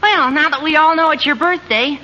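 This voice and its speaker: A woman's voice: high-pitched, a little fast, lightly acted. An actress playing a polite, genteel married lady with a formal manner in a 1940s radio comedy. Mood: gracious. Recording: hissy muffled old radio recording.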